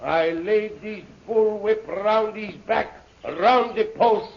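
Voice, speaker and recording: A man's voice: high-pitched, takes it slowly, vocal fry, exaggerated voice. An actor playing a boastful, brutish henchman with a French accent and swaggering broken English in an old radio serial. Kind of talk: 1930s radio serial acting. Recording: noisy, muffled old radio recording.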